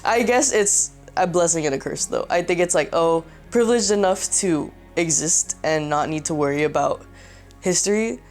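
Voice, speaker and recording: Young woman w/ a lowish voice, rambly and chatty, faint music under it. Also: moderate pace, a little mellow. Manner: chatty, lots of filler words. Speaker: interviewee. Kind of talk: casual documentary interview.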